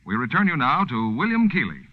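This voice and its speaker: A man's voice: medium pitch, wildly swooping pitch, brisk. A man announcing and reading advertisements on 1940s radio with an emphatic salesman's delivery. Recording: dull vintage radio broadcast with music.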